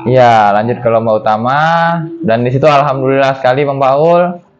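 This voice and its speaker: A man from Jambi, a guest on an interview, talking in a halting way with many "eh" fillers and repeated respectful address to the host. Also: medium pitch, steady tone. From Jambi, Indonesia. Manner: halting, fills with "eh" and keeps addressing the host as "Bang". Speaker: pigeon racing jockey, guest. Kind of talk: casual interview chat. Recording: dull, lo-fi sound.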